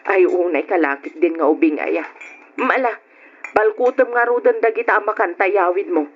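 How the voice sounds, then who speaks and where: A woman playing a kindly, brisk older auntie in an old radio drama, with an Ilocano accent. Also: mid-range voice, lightly acted. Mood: kindly, insistent. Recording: dull noisy old radio recording.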